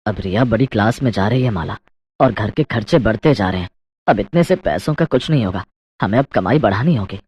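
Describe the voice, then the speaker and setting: A man's voice: on the low side, animated, fairly quick, darkish tone, husky. A man playing a practical working husband in a Hindi cartoon family story. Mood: concerned.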